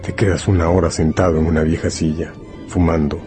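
Deep-voiced male narrator over music. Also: little pitch movement, brisk, dark tone. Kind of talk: dramatic second-person scripted narration.

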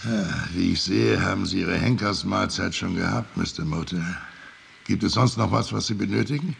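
An actor playing a dry, shrewd, casually corrupt official in a radio drama, with a gravelly, creaky voice and matter-of-fact, wry manner. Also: male voice, low voice, moderate pace, noisy background. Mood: businesslike, sly. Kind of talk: radio drama dialogue in German.

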